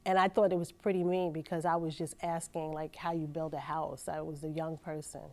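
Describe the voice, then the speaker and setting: A woman's voice: low voice, level tone, fairly quick, dark tone. A woman, a community resources coordinator, speaking reflectively and encouragingly in an interview. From New York.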